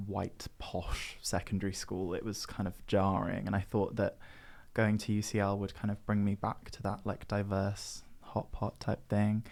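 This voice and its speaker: Gravelly young podcaster, informal. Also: low voice, darkish tone. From English Midlands. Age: young adult. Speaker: podcast crew member. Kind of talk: casual group chat.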